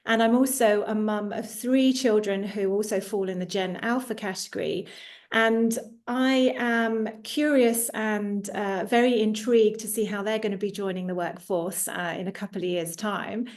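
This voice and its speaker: A British-accented woman in her forties speaking as an executive coach in an online masterclass, often pausing on filler sounds. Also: medium pitch, fairly even intonation. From United Kingdom. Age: 40s. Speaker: executive coach and guest presenter. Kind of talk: introducing herself in a live online masterclass. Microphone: video call.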